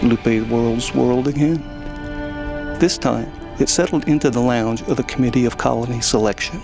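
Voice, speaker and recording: Guy narrating a story, crackly fry in his voice, music underneath. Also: medium pitch, moderate pace.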